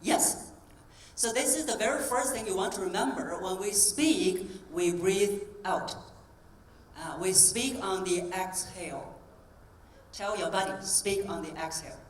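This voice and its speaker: A woman's voice: mid-range voice, measured pace. Woman voice coach in an echoey hall. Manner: energetic, coaxing, drills the audience. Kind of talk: keynote talk with audience exercises. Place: large conference hall on stage. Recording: roomy hall, distant mic, faint ambience.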